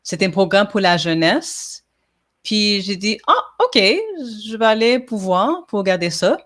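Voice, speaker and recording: A woman's voice: mid-range voice, sing-song, a little slow, fairly bright tone. A woman from Montreal whose first language is English, a podcast guest talking in a casual interview, hesitant with many fillers and restarts. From Montreal, Quebec.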